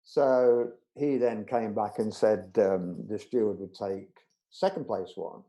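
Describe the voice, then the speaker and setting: Guest role: middle-aged man, mellow tone, rambling anecdotal delivery. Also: fairly low pitch, takes it slowly, rough recording. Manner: chatty, anecdotal, frequent restarts and fillers. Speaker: budgerigar show judge, interview guest. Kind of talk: casual storytelling interview.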